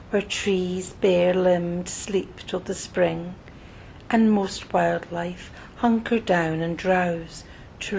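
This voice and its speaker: A woman narrating a scripted guided meditation in a soothing, measured manner, pausing between short phrases, with soft breathy phrase endings. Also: low-quality recording. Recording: noticeable low rumbling background noise.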